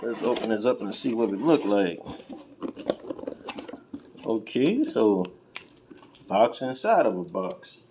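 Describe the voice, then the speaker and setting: A man's voice: on the low side, lively intonation, slow, pauses often, dark tone. An American man chatting casually and informally as he does a hands-on product unboxing, with a folksy, colloquial way of talking. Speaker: vlogger unboxing and reviewing car audio gear. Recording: clearly noisy home-video recording.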